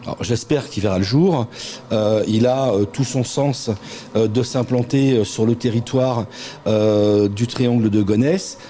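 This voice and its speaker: A middle-aged man, a local elected official, answering interview questions in a measured way with frequent hesitant pauses. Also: medium pitch, dark tone, a little hiss or hum. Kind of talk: interview answers, political talk.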